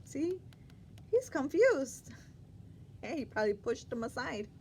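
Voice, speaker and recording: A woman's voice: high voice, sing-song, a little slow, background noise. A woman reading a picture book aloud to young children, expressively, with asides and questions to the listener.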